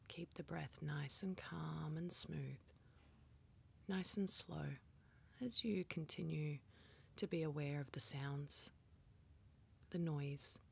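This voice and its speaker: Breathy, hushed woman guiding meditation. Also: low-pitched. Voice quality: soft, breathy, whispery. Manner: hushed, calm, gently instructing with pauses. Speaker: meditation guide. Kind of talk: guided meditation.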